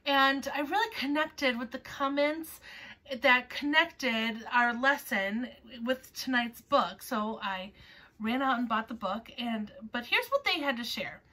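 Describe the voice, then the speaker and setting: A woman in her thirties hosting a children's storytime, reading and introducing a picture book in a measured way with pauses. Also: on the high side, expressive, bright, forceful tone. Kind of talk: scripted introduction and picture-book read-aloud. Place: small furnished room at home, indoors. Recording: voice a little far from mic.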